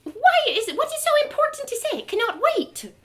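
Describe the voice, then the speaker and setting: A storybook elf, prim and cautious, speaks extremely high. The phrasing is stilted and halting, as if the language were learned, and the pitch leaps about wildly. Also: female voice, sing-song, a bit bright, heavily acted. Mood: insistent, scolding.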